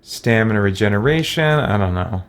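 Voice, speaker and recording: A man's voice: lowish voice, animated, moderate pace, slight background noise. A man hosting a let's play, thinking aloud casually and playfully as he works through a game.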